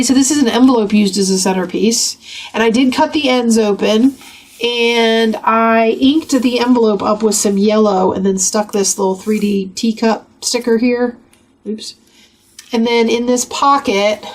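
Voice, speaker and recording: A woman with a gravelly voice from a cold, chatting casually as she flips through a craft journal. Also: on the high side. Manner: chatty, rambling, with many fillers. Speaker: craft channel host.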